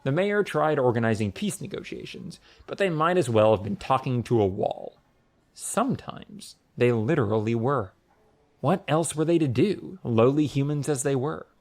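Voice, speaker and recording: Expressive male audiobook narrator with swooping pitch. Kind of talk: scripted story narration.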